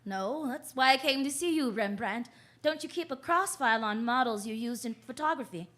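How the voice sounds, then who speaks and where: High-voiced wisecracking lady detective. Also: animated, moderate pace, fairly bright tone. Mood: breezy. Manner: wry, flippant, teasing. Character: wisecracking, breezy, confident female private detective. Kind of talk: 1940s-style radio detective acting and narration.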